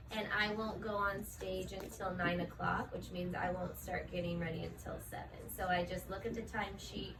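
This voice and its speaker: A woman, a professional ballet dancer, answering interview questions in a casual, chatty way, full of "like" and "um". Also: medium pitch. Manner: chatty, frequent fillers like "like" and "um". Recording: distant mic with steady background noise.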